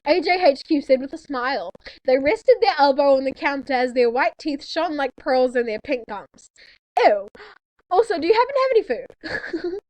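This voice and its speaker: A teenage girl chatting casually and reading fanfiction aloud, giggly and quick to throw in mocking asides. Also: high-pitched, big pitch swings, a little mellow, no echo, poor audio. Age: teens, thirteen. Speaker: co-reader on a call.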